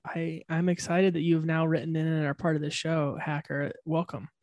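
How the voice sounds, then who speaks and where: A man co-hosting a casual podcast, playful and teasing, quick to react and laugh. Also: fairly high pitch, monotone, darkish tone, dry recording, studio quality. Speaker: podcast co-host. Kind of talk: casual chat.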